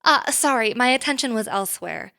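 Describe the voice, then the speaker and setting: A woman playing a poised, reserved boss in an audio drama, speaking formally and in a measured way. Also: a little high, animated, vocal fry, pushed and bright, full character voice. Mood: businesslike.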